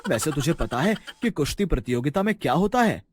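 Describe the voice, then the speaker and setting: A man's voice: a little high, very animated, fairly quick, no room sound. A man voicing a naive, timid village husband, earnest and simple-minded, in a cartoon moral story. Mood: proud, clueless.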